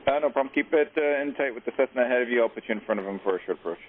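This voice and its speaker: A man working as an air traffic controller, giving rapid instructions over aviation radio. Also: medium pitch. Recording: tinny aviation radio, faint static.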